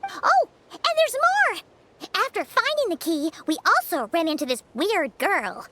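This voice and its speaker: A woman voicing a small, excitable fairy companion in a video game, childlike, perky and chatty. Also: a little slow, background noise, full character voice. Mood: eager, chatty. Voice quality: childlike, squeaky. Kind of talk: English video game voice acting. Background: background music.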